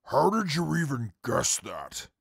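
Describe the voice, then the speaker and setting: Stern, very gravelly commander, played by a man. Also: mid-range voice, measured pace, no echo, clean studio sound, exaggerated voice. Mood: disdainful, impatient. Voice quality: very gravelly, husky. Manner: curt, commanding, deadpan, disdainful. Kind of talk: comic post-apocalyptic audio drama acting.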